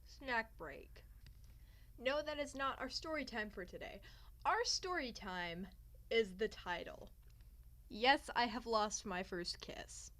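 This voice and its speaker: A woman's voice: a little high, animated, slow pace, a bit bright. A young woman vlogger telling a personal story in a casual, theatrical way with sarcastic, self-mocking asides. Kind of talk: casual storytime chat to camera.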